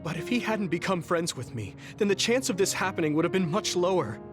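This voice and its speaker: A man's voice: high-pitched, lively intonation, fairly quick. A man voicing an earnest, sincere young male soldier in an anime game dub, reflective in delivery. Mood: grieving, guilty. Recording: music playing behind the voice. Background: game background music.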